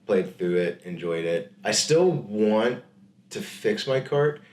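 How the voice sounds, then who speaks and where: A man with a low voice talks casually as a podcast co-host. He rambles, restarts and slips into quick silly voices for quotes. The room around him sounds echoey. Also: lively intonation, a little mellow. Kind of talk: casual chat.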